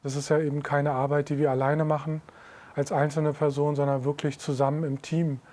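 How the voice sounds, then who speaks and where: A man leading an anti-discrimination project, giving a measured interview statement with steady lists. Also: on the high side. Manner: steady listing, measured delivery.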